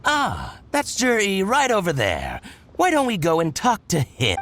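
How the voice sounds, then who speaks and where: A man's voice: sits high, huge pitch swings, measured pace, a bit bright, a little hiss or hum, full character voice. A man voicing a shrewd, possessive, self-interested merchant in a video game, with a fussy, dramatic, haggling manner. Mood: flustered, suspicious. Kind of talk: video game character voice acting.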